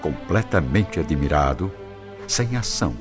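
A man's voice: rumbling low, expressive, unhurried. A man narrating a radio drama from a script in a formal, theatrical style. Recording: dull lo-fi radio drama recording. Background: music.

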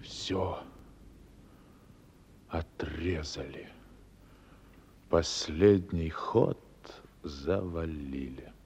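A man's voice: drawn-out words, pauses often, a little mellow, heavily acted. An actor playing a grim, trapped male soldier in a wartime radio play. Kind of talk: Russian radio play acting. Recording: rumbling background noise.

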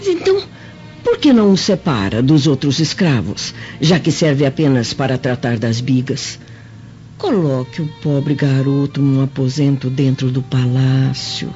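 A woman's voice: rumbling low, expressive, unhurried, dark tone. A Brazilian woman playing a practical, questioning Roman patrician wife in a radio drama, with formal delivery. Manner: formal, inquisitive. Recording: dull lo-fi audio, faint music.

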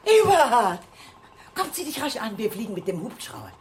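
An actress playing a whimsical, superstitious aunt of about sixty in a German radio drama. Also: female voice, fairly high pitch, very animated, normal speed, slight background noise. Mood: excited, breezy. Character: eccentric sixty-year-old aunt, superstitious, whimsical and blithe.

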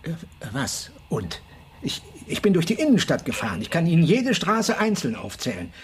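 An actor playing an anxious, fussy office man in a radio drama, stammering, repeating himself and sometimes dropping to a breathy near-whisper. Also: on the high side, wildly swooping pitch, slight background noise. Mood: defensive, babbling. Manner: stammering, halting, repeating words. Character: flustered, anxious, fussy male publishing editor under questioning. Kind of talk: German radio drama acting.

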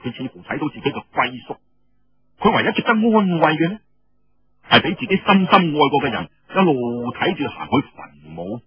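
A man narrating a dramatic traditional story in an expressive, emphatic way, with a Cantonese accent. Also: on the high side, normal speed, breathy edge. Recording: dull lo-fi radio sound with room echo.

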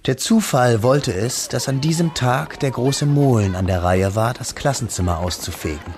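An actor narrating a literary radio drama as a reflective, wistful man looking back on his schooldays, measured and solemn. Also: mid-range voice, music in the background, close to an everyday voice.

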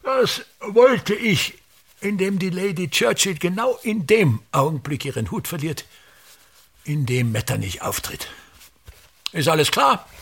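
A husky, gravelly theatre tyrant, with a highish pitch that swoops widely. He declaims pedantically and condescends with easy exasperation, in the style of a German radio play. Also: expressive, unhurried, fairly bright tone, exaggerated voice. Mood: pontificating. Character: pompous, tyrannical theatre director rehearsing his own comedy. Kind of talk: German radio drama acting.

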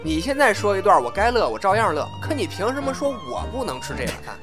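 Actor as cocky young man, lively higher voice. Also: fast. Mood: defiant. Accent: northern Mainland Chinese. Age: young adult. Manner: sparring, colloquial. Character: cocky, quick-tongued young man, stubborn and open-hearted. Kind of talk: audio drama acting. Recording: music behind the voice.

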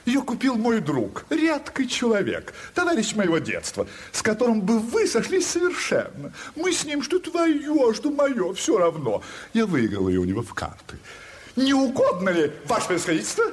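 Casting: boastful provincial squire, high and extravagantly animated, fawning on his superiors, theatrical audio drama. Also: normal speed. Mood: boastful, eager. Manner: obsequious, effusive, deferential. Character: boastful, fawning provincial landowner, eager to impress.

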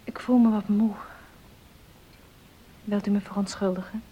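A woman's voice: mid-range voice, steady tone, a little slow, slightly rough, dull audio. An actress playing an earnest, devout and resolute young woman in a radio drama, with polite, formal diction. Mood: tired, subdued. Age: young adult. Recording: noisy old radio play recording.

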